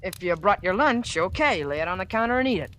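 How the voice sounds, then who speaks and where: A man's voice: high voice, lively intonation, quick pace, fairly bright tone. An actor playing a stubborn, slangy male diner cook with a blunt, surly way of talking, in 1940s radio style. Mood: defiant, surly. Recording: hissy vintage radio broadcast.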